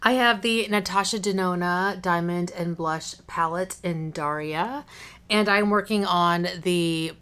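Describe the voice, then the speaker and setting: A woman's voice: lowish voice, a bit bright. A woman vlogging casually to camera, chatty and informal with a tendency to wander off topic, slightly congested with a cough drop in her cheek. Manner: chatty, informal, prone to tangents. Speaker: beauty vlogger.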